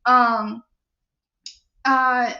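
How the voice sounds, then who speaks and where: A woman's voice: a little high, little pitch movement, extremely slow, pushed and bright. A woman hosting a live talk show, reading listener comments and answering them earnestly and preachily with rhetorical questions. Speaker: radio show host. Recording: echoey, slightly dull sound.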